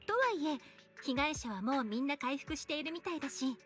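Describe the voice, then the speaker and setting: A composed, chatty woman from an anime-style game. Her voice is high and her delivery quick, over faint game music. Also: a bit bright. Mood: matter-of-fact. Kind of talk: Japanese video game voice acting.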